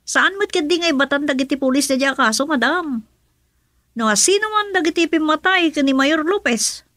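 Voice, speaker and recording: A woman's voice: high voice, expressive, normal speed, a bit bright, no room sound, clean studio sound. An actress playing a polite, chatty household employee talking deferentially to her madam in an Ilocano radio drama. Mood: polite, earnest.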